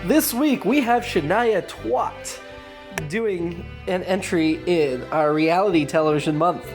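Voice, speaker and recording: A woman's voice: lowish voice, slow, a little mellow, faint music behind. A woman reading a scripted podcast announcement as the host.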